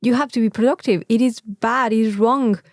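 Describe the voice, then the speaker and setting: A woman's voice: on the high side, fairly even intonation, a little fast, dry recording, studio quality. A woman with a Mexican accent, a native Spanish speaker, talking candidly and casually as a podcast co-host and repeating phrases for emphasis.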